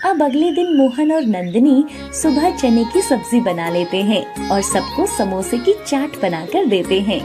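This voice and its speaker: A woman reading scripted narration for an animated story. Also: fairly high pitch, a little slow, few pauses. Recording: music bed behind the voice. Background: background music.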